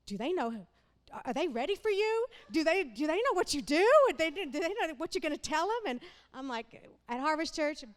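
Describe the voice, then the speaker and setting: A woman's voice: sits high, very animated, moderate pace. A woman hosting a church conference, enthusiastically introducing the next speaker. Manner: enthusiastic, playful. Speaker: event host introducing the speaker. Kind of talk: stage introduction.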